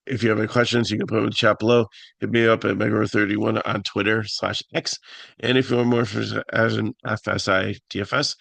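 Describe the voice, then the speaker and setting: Raspy low-voiced man, flat and gravelly. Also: dry recording. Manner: halting, many fillers and restarts. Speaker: fantasy sports analyst host. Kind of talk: casual solo picks talk.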